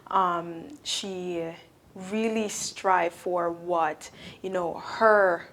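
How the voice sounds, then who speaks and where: A woman's voice: fairly low pitch, fairly even intonation, very slow, fairly bright tone, slight background noise. A young woman from Jamaica with a Jamaican accent, giving earnest, heartfelt interview answers as a guest. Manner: earnest, heartfelt, sometimes laughing. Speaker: guest, accountant and business owner.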